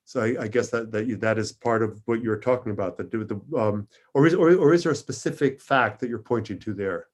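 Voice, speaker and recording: Guy hosting author q&a, quick talker, slightly low voice, hesitant, keeps repeating words. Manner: tentative, halting, repeats words. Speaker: moderator of a book event. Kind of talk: literary interview.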